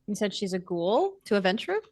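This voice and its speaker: A woman's voice: mid-range voice, very animated, a little fast, darkish tone. A woman taking part in a tabletop roleplaying game, chatting casually as a player.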